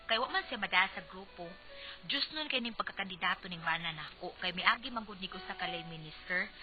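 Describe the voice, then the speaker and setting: A woman's voice: medium pitch, animated, a little fast, lo-fi sound, soft background music, lightly acted. A woman playing a nagging, skeptical wife in a Cebuano radio drama, exasperated and questioning, with a Cebuano Filipino accent. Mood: complaining. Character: nagging, skeptical wife of a local political candidate.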